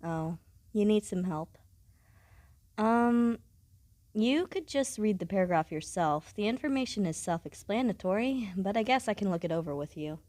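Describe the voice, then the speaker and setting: A woman playing a blunt, aloof, studious student in a scripted audio roleplay, speaking in a deadpan way with formal wording. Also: mid-range voice, normal speed, no room sound, close to an everyday voice. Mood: focused, matter-of-fact. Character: blunt, aloof, studious female student, coolly matter-of-fact.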